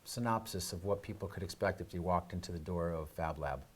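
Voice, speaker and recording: A man hosting a casual live interview, speaking in a halting, loosely improvised way. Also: deep voice, breathy edge. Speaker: show host.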